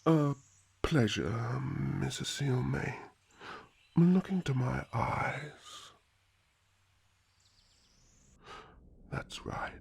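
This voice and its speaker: A man playing an older elf High King in a fantasy ASMR roleplay, calm, formal and soothing, often dropping to a whisper. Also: gravelly, full character voice. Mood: soothing, hypnotic. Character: regal elf High King, calm, kindly, protective father.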